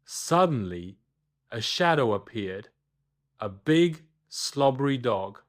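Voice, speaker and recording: A man narrating a scripted children's bedtime story, expressive and deliberate, with dramatic pauses. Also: mid-range voice.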